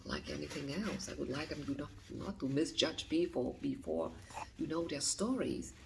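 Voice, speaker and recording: Lady in her 50s, low gravelly voice, soft and halting, faint hiss behind. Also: expressive. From Vietnam. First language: Vietnamese. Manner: soft, hesitant, with frequent restarts and whispered phrases. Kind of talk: reading and answering interview questions.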